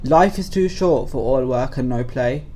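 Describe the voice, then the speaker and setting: A man's voice: medium pitch, measured pace, darkish tone, natural voice. Man acting a jolly, hearty old boss. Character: jolly, hearty old warehouse master throwing a party. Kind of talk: audio play acting.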